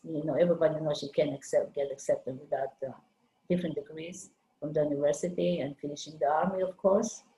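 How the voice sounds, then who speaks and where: Older woman from Lithuania, low dark voice, halting delivery, roomy echo on a video call. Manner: halting, searching for words. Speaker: guest artist. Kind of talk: conversational interview.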